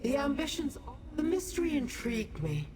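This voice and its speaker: An actress playing an aloof, scheming immortal demon woman, haughty and deliberate, in a video game. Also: sits high, sing-song, a crowd in the background. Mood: coolly intrigued. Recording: noisy game ambience.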